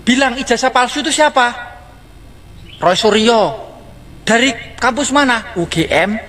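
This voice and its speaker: A man's voice: extremely high pitch, measured pace, pushed and bright, slight background noise. A man with a Javanese accent, a farmer speaking bluntly and mockingly, asking rhetorical questions and answering them himself. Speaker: farmer in a reacted-to video clip. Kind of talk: blunt scolding monologue to a student activist.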